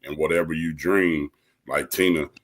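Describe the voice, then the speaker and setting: A man's voice: a bass voice, steady tone, normal speed. A man talking casually as a panel guest, with a colloquial, encouraging manner. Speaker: cast member, panel guest. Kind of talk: casual chat.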